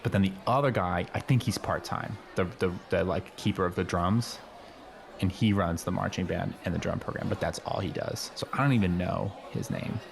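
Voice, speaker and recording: A man playing an earnest, know-it-all high school boy in an improvised comedy radio play, rambling casually with lots of slang. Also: fairly even intonation, normal speed, gravelly, a little hiss or hum. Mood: earnest, informative. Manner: rambling, hesitant, peppered with "like" and slang.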